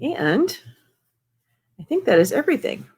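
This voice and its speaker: A woman's voice: sits high, very animated, unhurried, a little mellow, husky. A woman hosting a live show, going over announcements in a chatty, encouraging way. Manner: chatty and encouraging, many fillers. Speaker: host.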